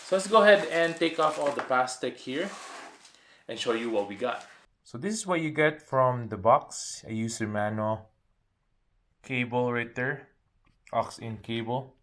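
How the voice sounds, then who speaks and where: A man's voice: medium pitch, measured pace, a bit bright. A man narrating a casual hands-on product demo, pointing things out piece by piece in short phrases. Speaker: product reviewer.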